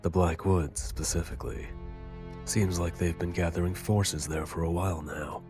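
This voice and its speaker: A gruff, world-weary military man played by a male actor in an anime audio drama. His voice is extremely low, and he speaks with dry sarcasm and grim calm over faint music. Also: moderate pace, close to an everyday voice. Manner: dry, sardonic.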